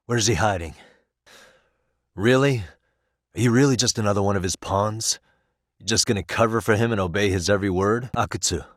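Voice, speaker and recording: An actor playing a wry, dogged, streetwise private detective in a video game, speaking in a conversational, self-assured way. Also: male voice, fairly low pitch, no echo, clean studio sound, close to an everyday voice. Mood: pressing, tough. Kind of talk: English video game voice acting.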